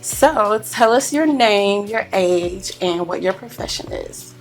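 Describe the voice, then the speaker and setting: A woman's voice: medium pitch, lively intonation, slow, faint music behind. A woman hosting a podcast, introducing guests and asking interview questions. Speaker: podcast host. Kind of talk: interview host.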